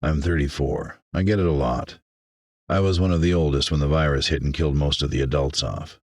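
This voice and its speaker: Man voicing a low-pitched, gruff survivor. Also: fairly even intonation, fairly quick, a little mellow, no echo, studio quality. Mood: earnest, dry. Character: gruff man in his thirties, wary survivor.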